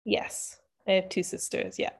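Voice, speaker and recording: A woman from Ontario, a podcast guest chatting casually and relaxed, often pausing on "um". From Ontario, Canada. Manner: relaxed, many "um" fillers, thinking aloud. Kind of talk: casual interview chat.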